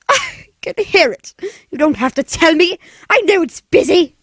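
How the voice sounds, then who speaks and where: A woman's voice: very high voice, heavily acted. A woman playing an anxious, demanding bedridden wife in 1940s radio play style, with fretful, stammering, melodramatic delivery. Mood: fretful, desperate.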